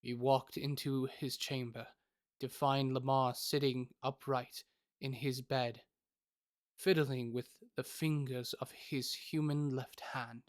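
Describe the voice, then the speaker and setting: A man playing a grave doctor who narrates in an audio drama, measured and formal. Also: mid-range voice, level tone, no room sound, clean studio sound, lightly acted. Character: grave male doctor recounting a troubling case.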